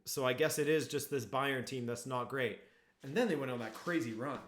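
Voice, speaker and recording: A man's voice: mid-range voice, brisk, fairly bright tone. A man hosting a live football watchalong stream, chatting casually with viewers and reacting to the match. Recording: echoey room.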